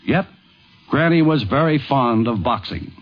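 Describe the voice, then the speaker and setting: A man hosting with polished, measured, scripted narration in a 1950s radio documentary. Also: mid-range voice, expressive, soft background music. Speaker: host, narrator. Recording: dull 1950s radio recording.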